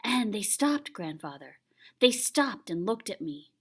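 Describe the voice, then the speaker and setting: A woman's voice: medium pitch, unhurried, slightly rough, pitched above the performer's own voice, exaggerated voice. A woman voicing an eager, insistent young boy who pleads for stories in a children's picture book. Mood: excited, proud. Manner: pleading, excited questioning.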